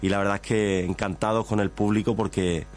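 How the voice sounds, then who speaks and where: A man from Cádiz with an Andalusian accent, a flamenco musician on a radio interview, quick to agree and joke. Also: lowish voice, flat delivery, faint music behind. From San Fernando, Cádiz, Spain. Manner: affirming, joking.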